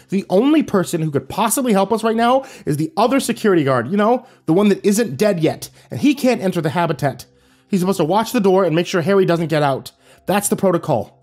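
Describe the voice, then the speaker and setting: A man's voice: sits high, darkish tone, pitched above the performer's own voice. A man voicing a foul-mouthed young man in an audio horror story, bitter, scared and irritable, snapping out insults. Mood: exasperated. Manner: snapping, hissing, growling, sarcastic insults.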